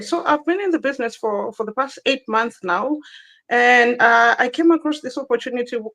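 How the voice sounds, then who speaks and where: A woman's voice: fairly high pitch, poor audio. A woman in her thirties from Zimbabwe, a guest telling her personal story in an online interview, earnest and given to repeating herself.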